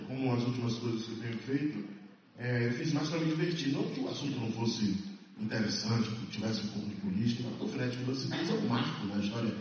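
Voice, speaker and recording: Passionate actor in an echoey hall. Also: male voice, medium pitch, quick pace, a little mellow, a little hiss or hum. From Salvador, Bahia, Brazil. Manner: emphatic, passionate, repeats phrases for stress. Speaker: stage and TV actor. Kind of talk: press conference talk. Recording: echoey hall, mic far off.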